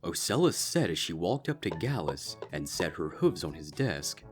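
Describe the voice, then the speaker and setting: A man's voice: low voice, sing-song, normal speed, faint music behind. A man reading a scripted fan fiction story aloud as its narrator.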